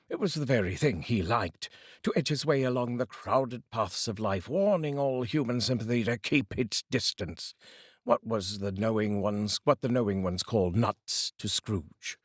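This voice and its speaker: A man reading a classic story aloud as a dramatic, expressive storyteller. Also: on the low side, husky, no room sound, clean studio sound. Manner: theatrical, expressive. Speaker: storyteller, reader. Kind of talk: dramatic audiobook narration.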